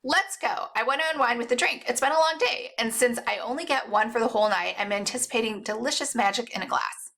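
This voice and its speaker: A woman's voice: on the high side, fast, hardly any pauses, a bit bright. A woman voicing a curt, snarky, competitive older sister in a read-aloud novel. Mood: forced cheer. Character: snappish, bitter older sister, competitive and sarcastic.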